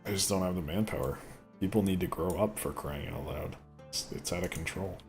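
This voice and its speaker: A man rambling casually while commentating on a gameplay video, with a voice he says sounds deeper since an illness. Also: brisk, vocal fry, faint music behind. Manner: rambling, chatty, thinks aloud. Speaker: gaming video host. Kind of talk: casual let's play commentary.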